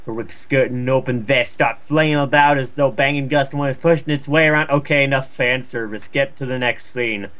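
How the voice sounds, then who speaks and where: A man's voice: medium pitch, fairly even intonation, brisk, hardly any pauses, a bit bright. A man reading fan fiction aloud with profane, sarcastic, mocking commentary.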